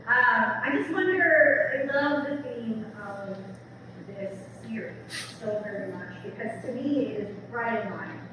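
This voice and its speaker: A woman with a fairly high voice talks informally and with enthusiasm, like a chatty lecturer. She is distant from the mic in a heavily echoing hall, with clear background noise. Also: expressive, unhurried. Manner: conversational, peppered with "like" and "right?", enthusiastic. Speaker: speaker, English professor. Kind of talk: informal public book talk and audience discussion. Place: event room with an audience.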